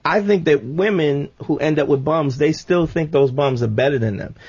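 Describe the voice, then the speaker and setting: A man's voice: a little high, normal speed, a little mellow, slightly rough, highs cut off. A man on a talk show panel giving opinions casually, repeating phrases for emphasis.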